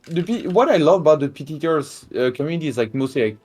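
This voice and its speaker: A man with a Québécois French accent chats about a game in a loose, halting way, stacking fillers and restarting his words. Game music plays clearly under his voice. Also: a little high, expressive. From Quebec. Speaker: speedrun verifier. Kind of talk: casual gaming chat.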